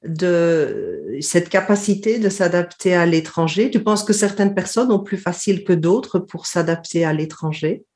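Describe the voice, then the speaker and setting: Podcast host lady, lower voice, polite measured questions. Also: lively intonation, darkish tone. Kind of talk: interview questions.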